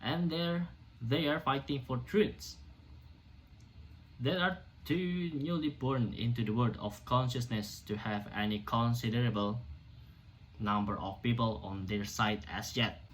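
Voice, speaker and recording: Defiant doctor in a stage play, acted by an amateur male reader, medium pitch, declamatory and indignant, slightly noisy recording. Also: natural voice. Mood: indignant, fervent. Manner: emphatic, declamatory, halting in places. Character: defiant, indignant doctor orating to a hostile crowd.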